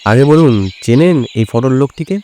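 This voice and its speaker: A man's voice: mid-range voice, animated, normal speed, mellow, slight background noise, lightly acted. A man playing a composed, probing detective in an audio drama.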